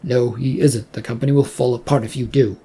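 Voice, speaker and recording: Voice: medium pitch, fairly even intonation, brisk, warm tone, husky. A narrator voicing a stern, accusing male company boss, insistent and blunt, in an audio story.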